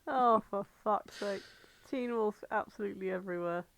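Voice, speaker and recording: A woman's voice: medium pitch, normal speed, slight background noise. A woman co-hosting a podcast in casual, unscripted chat, informal and rambling, with some swearing. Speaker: podcast co-host.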